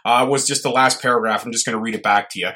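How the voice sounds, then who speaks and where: A man's voice: steady tone, racing, bright, forceful tone. A man hosting a podcast interview, chatting casually and enthusiastically with a big voice that carries. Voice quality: booming voice that carries. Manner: enthusiastic, joking. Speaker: podcast host.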